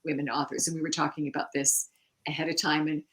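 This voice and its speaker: A middle-aged woman co-hosting a casual chat about writing, animated and quick to laugh, often restarting her thoughts. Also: low voice. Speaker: podcast co-host.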